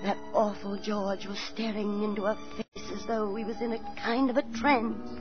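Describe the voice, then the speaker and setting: A woman's voice: medium pitch, fairly even intonation, moderate pace, natural voice. Woman playing a motherly old former actress, old radio. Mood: grave narration. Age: older. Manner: motherly, theatrical. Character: kindly older former actress, landlady recalling a tragedy. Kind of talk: 1940s radio acting, dramatic narration. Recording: dull 1940s radio recording with music.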